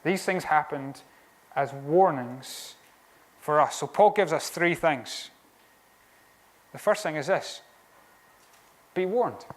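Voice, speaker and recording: A Scottish preacher with a fairly high voice delivers a sermon. His delivery is animated and conversational, and he slips in wry asides. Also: slow, pauses often. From Scotland.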